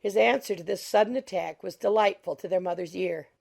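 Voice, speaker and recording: A woman reading literary narration as an audiobook narrator, measured and formal. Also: medium pitch, gravelly, darkish tone.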